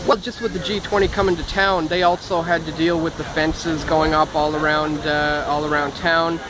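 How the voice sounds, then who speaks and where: A man's voice: fairly high pitch, level tone, normal speed, noisy background. A man being interviewed outdoors in a city park, answering with many hesitations and fillers. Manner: hesitant, many ums and uhs. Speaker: interviewed filmmaker. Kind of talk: casual street interview.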